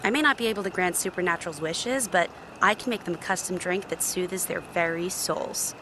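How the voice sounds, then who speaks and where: An actress playing a guarded, sarcastic genie bartender in a video game, blunt and casual. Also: female voice, lowish voice, fairly quick, noisy background, natural voice. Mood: sassy, blunt. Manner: blunt, dry, sassy. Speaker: video game romance character. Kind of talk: scripted game dialogue, casual.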